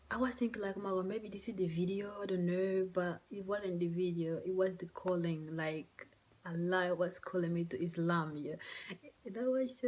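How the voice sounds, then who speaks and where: A young woman who speaks English as a second language, telling a personal story to camera in a halting, soft, emotional way with many fillers. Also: fairly low pitch. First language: not English. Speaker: vlogger. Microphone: phone or camera mic.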